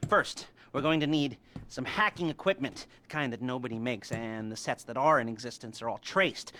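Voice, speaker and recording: A man playing a nerdy, sardonic heist mastermind in a video game cutscene, talking in a halting, rambling way with nervous chuckles. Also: medium pitch, a bit bright, slight background noise. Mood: pleased, scheming. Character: nerdy, twitchy, sardonic male heist mastermind briefing crew.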